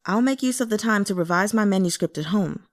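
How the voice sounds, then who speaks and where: A man's voice: high-pitched, a little fast, lightly acted. A woman voicing a humbled, eager male would-be author in an audiobook, hesitant and deferential. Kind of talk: audiobook character dialogue.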